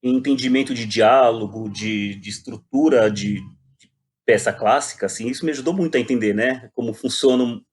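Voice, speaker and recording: A man's voice: medium pitch, normal speed. A Brazilian man talking casually and reflectively as an interview guest, often repeating words as he searches for phrasing. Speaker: playwright and poet guest.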